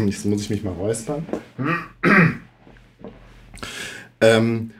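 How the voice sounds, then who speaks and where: A hoarse man with a cold, co-hosting a casual podcast chat and explaining things at length with many hesitations. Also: on the low side, expressive, very slow, a bit bright. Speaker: podcast co-host.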